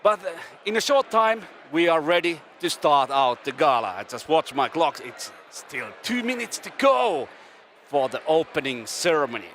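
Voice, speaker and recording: A man's voice: fairly high pitch, sing-song, normal speed, fairly bright tone, a little hiss or hum. A man from Finland with a Finnish accent, hosting a live event stream from a desk upstairs at a restaurant venue, chatty and playful. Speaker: stream host.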